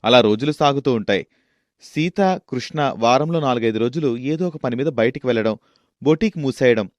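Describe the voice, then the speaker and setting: A man's voice: medium pitch, darkish tone, no echo. A man narrating a scripted story as a storyteller.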